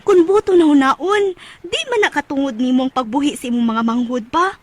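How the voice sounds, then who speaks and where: A woman's voice: sits high, fairly quick, no room sound, close to an everyday voice. A woman acting as a caring, nosy older co-worker in a Bisaya radio drama, giving motherly, chiding advice in a Cebuano accent.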